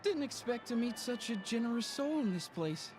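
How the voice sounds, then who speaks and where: A man's voice: extremely high pitch, sing-song, normal speed. A man voicing a tipsy would-be poet who giggles between phrases, in a game with background noise.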